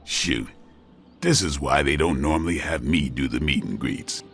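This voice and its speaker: An actor playing a cool, gruff vampire hunter with a sardonic, dry manner in a video game. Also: male voice, extremely low pitch, normal speed, faint music behind. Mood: dry, teasing.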